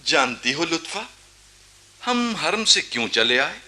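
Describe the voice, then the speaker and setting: A proud young Nawab in a radio play. His high voice is piercingly bright and swoops widely. He declaims grandly and takes his time over every phrase. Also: big pitch swings, slow, heavily acted. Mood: brooding, haunted. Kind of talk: theatrical Hindi radio play acting.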